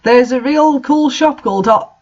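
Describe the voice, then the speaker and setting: Gushing high-pitched teen goth girl put on by a reader. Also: normal speed, a little mellow. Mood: excited. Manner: gushing, shrieky, melodramatic. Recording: dull lo-fi sound.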